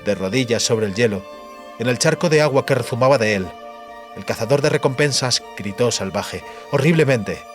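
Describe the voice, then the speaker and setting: Guy narrating a fantasy audiobook, mid pitch, measured dramatic delivery, music in the background. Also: lively intonation.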